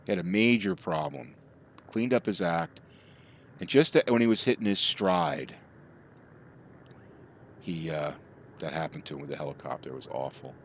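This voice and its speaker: A man's voice: deep voice, normal speed, pauses often. A middle-aged man chatting casually with his live chat while he plays, rambling from topic to topic. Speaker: streamer.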